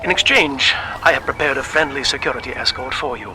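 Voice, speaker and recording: A man's voice: mid-range voice, lightly acted. Refined, weary male scientist played by an actor, noisy backdrop. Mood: courteous, weary. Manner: formal, measured, polite. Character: doomed male scientist, refined, weary, courteous, resigned to death. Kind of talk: recorded message in a video game.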